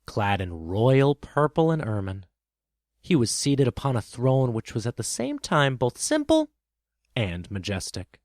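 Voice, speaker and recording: A man narrating a children's tale as a podcast storyteller, with expressive, measured and dramatic phrasing. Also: medium pitch, dry recording, clean studio sound.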